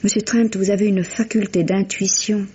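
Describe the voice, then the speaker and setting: An actress playing a refined, emotional widow in a vintage French radio mystery, with genteel, expressive theatrical delivery. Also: female voice, medium pitch, moderate pace, breathy edge, close to an everyday voice. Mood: admiring. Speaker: actor. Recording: muffled old radio drama recording.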